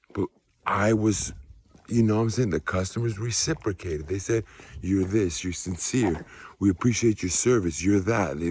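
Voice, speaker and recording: Husky, low-voiced American man preaching casually. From United States. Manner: emphatic, preachy, repeats phrases for weight. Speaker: spiritual vlogger. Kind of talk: casual motivational testimony monologue.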